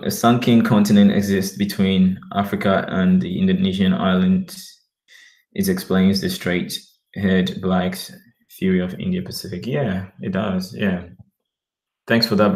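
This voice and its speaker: A man talking casually to his viewers, rambling and often checking in with "okay" while reading web pages aloud slowly. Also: sits low, flat delivery, low-quality recording. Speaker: vlogger. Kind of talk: casual talk to camera.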